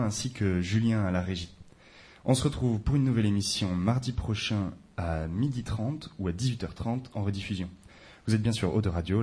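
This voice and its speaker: A man's voice: fairly low pitch, mellow, slightly rough. A man hosting a local radio show, handing over to columnists and wrapping up with thanks and some hesitant fillers.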